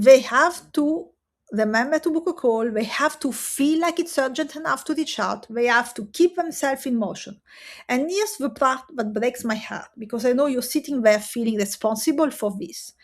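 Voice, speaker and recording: Woman, fairly high voice, earnest, emphatic, direct-to-camera coaching talk. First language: Italian and French. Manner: earnest, emphatic, with rhetorical pauses.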